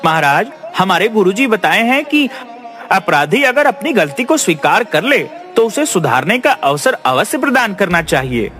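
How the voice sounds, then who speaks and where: A man's voice: high-pitched, sing-song, moderate pace, a bit bright, faint music behind. A man voicing a polite, wise young man who reasons respectfully before a king in a cartoon story. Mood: earnest. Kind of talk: dubbed cartoon acting.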